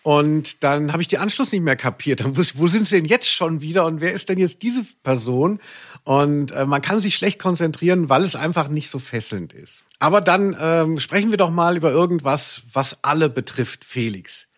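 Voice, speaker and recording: A man's voice: fairly high pitch, animated, normal speed. A man hosting a podcast in casual, chatty style, rambling and correcting himself as he goes. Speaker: podcast host.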